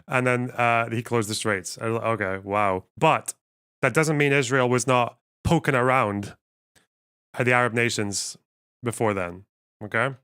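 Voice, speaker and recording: Clear-voiced man rambling through a livestream. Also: mid-range voice, unhurried, fairly bright tone. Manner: thinks aloud, rambling and wry. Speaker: livestream host. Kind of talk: casual livestream commentary, reading chat.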